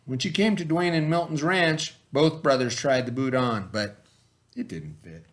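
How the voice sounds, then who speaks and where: A man narrating a children's storybook aloud in an expressive read-aloud style. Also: mid-range voice, measured pace. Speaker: storybook reader.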